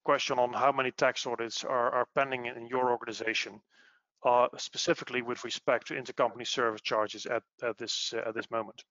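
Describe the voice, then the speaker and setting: A man explaining business material in a webinar in a measured, halting way over an online call. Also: medium pitch, fairly even intonation, bright, forceful tone, dry recording. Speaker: webinar presenter, tax expert. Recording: dull lo-fi online audio.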